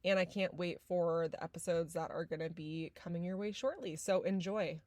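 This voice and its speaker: A woman's voice: lowish voice, moderate pace, very noisy. A woman from San Diego hosting a podcast, talking casually with lots of fillers, a little nasal and congested. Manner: chatty, many fillers like "like". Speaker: podcast host. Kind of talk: casual podcast intro and chat.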